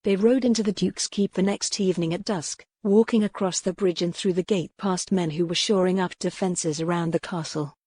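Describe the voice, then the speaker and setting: Low-pitched woman narrating fiction, dry sound. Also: dark tone, husky, no room sound. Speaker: audiobook narrator. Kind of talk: scripted dramatic fiction narration.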